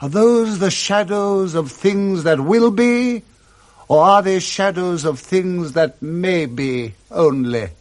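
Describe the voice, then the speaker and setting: A man's voice: high-pitched, animated, takes it slowly, a little mellow. A man playing an old British miser full of intense, repentant feeling, in a theatrical radio drama. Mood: fearful. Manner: impassioned, theatrical.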